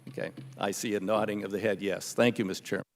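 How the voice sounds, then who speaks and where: An American man questioning witnesses at a legislative hearing in a halting, skeptical, pressing way. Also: fairly low pitch, flat delivery, slight background noise. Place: large indoor hearing room. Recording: reverberant hearing room.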